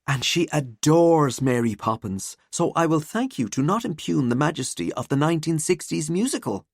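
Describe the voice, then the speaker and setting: A woman's voice: low-pitched, lively intonation, normal speed, darkish tone, slightly rough, dry recording, clean studio sound, pitched above the performer's own voice, heavily acted. A woman voicing a cheeky teenage schoolgirl in an audiobook, teasing and mock-dramatic. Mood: mock outrage.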